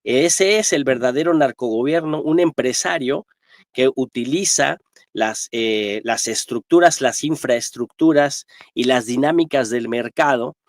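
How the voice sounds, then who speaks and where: A man with a Mexican accent and a mid-pitched voice offers spontaneous political analysis. His delivery is emphatic and militant, peppered with tag questions. The sound is very dry, with no room echo. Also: unhurried. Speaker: guest historian and political analyst.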